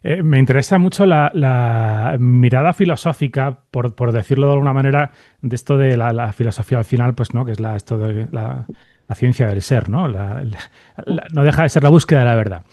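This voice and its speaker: A man from hosts a conversational interview. His voice sits at a middling pitch in a dry, close acoustic. He feels his way thoughtfully through each idea. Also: a little fast, warm tone, dry recording. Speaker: podcast host, interviewer.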